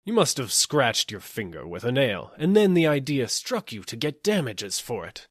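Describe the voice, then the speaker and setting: A man's voice: mid-range voice, big pitch swings, moderate pace, no room sound, studio quality, voice pushed up. Guy doing a bossy puffed-up police chief from an audiobook, stern n barky, voice goes up and down loads. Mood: blustering, suspicious. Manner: stern, barking, self-important. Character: pompous, blustering male police superintendent, bossy and fickle. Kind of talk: audiobook character acting.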